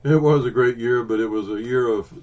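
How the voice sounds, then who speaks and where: A man's voice: mid-range voice, fairly quick, darkish tone, low-quality recording. A man in his fifties with an Oklahoma accent, chatting casually as a podcast co-host, rambling with ums and restarts. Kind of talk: casual chat about comics.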